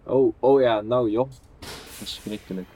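A man's voice: medium pitch, measured pace, mellow, close to an everyday voice. A young man playing an easygoing, slightly puzzled brother in naturalistic radio play dialogue, casual and questioning. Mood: grumbling. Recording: faint station ambience noise.

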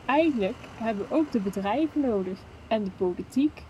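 A woman acting an idealistic young sister in a radio play, chatting earnestly in a natural way. Her voice is high and soft-edged, with faint ambient noise behind it. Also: expressive, fairly quick, dull audio, natural voice. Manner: conversational, earnest.

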